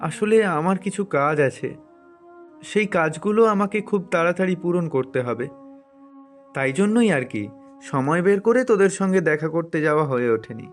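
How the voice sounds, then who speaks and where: Cartoon lead: man as earnest, wistful young man, slightly high pitch, music underneath. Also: animated, normal speed, natural voice. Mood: friendly, explaining. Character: earnest, dreamy young man devoted to a letter. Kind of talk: Bengali cartoon moral story dubbing. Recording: music behind the voice.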